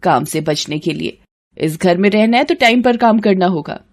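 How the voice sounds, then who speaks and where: A woman voicing a scheming, sharp-tongued young daughter-in-law in a moral story, scolding and demanding. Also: mid-range voice, lively intonation, moderate pace, close to an everyday voice. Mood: scornful scolding.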